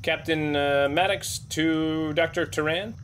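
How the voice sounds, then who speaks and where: A man's voice: on the high side, steady tone, slow. A man at a tabletop game, mixing casual chat with lines spoken as a starship captain. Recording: clearly audible background noise.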